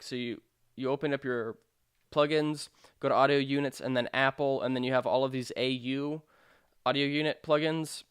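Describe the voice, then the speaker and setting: A man narrating a software tutorial in an informal, explanatory way with hesitant phrasing. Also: medium pitch, smooth, dry recording, clean studio sound. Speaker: sound design tutorial presenter.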